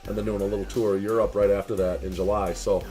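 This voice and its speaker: A young man from the Chicago area chats to camera in a fairly low voice. He rambles, restarts his sentences and fills gaps with "uh" and "you know". Faint music plays behind him. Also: flat delivery, fast talker, warm tone. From Chicago area, USA. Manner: rambling, many fillers and restarts, asks viewers for advice. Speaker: vlogger and online business owner. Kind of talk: casual talk to camera.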